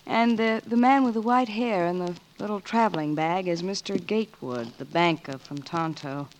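A woman's voice: lowish voice, normal speed, lightly acted. An actress playing a guarded, wistful young dance hall woman in a 1940s radio western, hesitant and subdued. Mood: matter-of-fact. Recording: hissy vintage 1940s radio recording.

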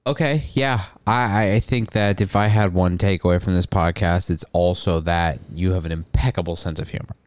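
A young man in casual podcast banter, joking at his own expense as he rambles on. His voice sits a little low and comes across smooth and clear. The sound is close and dry. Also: steady tone, normal speed, few pauses, no echo. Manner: self-deprecating, rambling, joking. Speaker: podcast co-host. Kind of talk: casual comedic chat.

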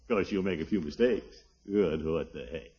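An American actor playing a jovial, pompous middle-aged uncle in a 1950s radio sitcom. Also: male voice, low voice, normal speed, husky. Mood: jovial, indulgent. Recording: noisy, clicking muffled 1950s radio recording.

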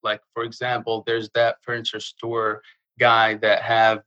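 A young man from Jordan, speaking earnestly in a casual interview and pausing often with fillers. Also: fairly low pitch, completely flat delivery, pushed and bright, dull audio. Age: young adult. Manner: earnest, halting with frequent uh fillers. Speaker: guest.

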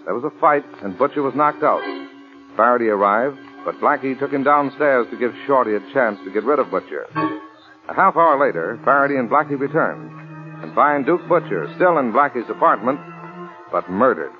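A narrow, tinny old radio recording with music clearly behind it. A male announcer reads scripted narration at a medium pitch in 1940s style. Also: expressive, moderate pace. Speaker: announcer narrating the story recap. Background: dramatic program music.

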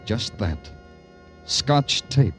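An actor playing a weary, sardonic police detective in a late-1940s radio noir, terse and brooding in dialogue and narration. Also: male voice, medium pitch, sing-song, drawn-out words, over loud music.

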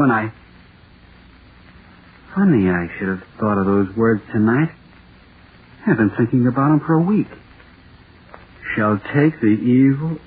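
An actor playing a haunted horror playwright who stammers and repeats himself, in 1930s radio drama style. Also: male voice, mid-range voice, husky, narrow, tinny audio. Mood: musing, self-mocking. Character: terrified radio playwright haunted by his own monster. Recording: scratchy, noisy 1930s radio recording.

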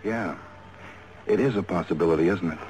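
Actor as a low-voiced American police lieutenant. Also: male voice, fairly quick, lightly acted. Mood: methodical. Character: steady, methodical male police lieutenant working a case. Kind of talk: 1950s radio detective drama dialogue. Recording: vintage radio recording, faint noise.

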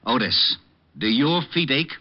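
An actor playing a gruff, exasperated, sarcastic police lieutenant, blustering his way through a radio drama. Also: mid-range voice, expressive, unhurried. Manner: blustering, sardonic. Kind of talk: 1950s radio drama acting. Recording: muffled old radio recording.

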